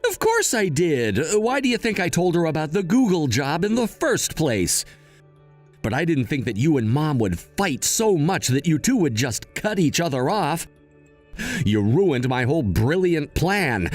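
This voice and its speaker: A scheming, freeloading brother, played by a man in a story drama, sly and whiny. His voice is somewhat high and very lively, with soft music in the background. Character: scheming, lazy young adult brother.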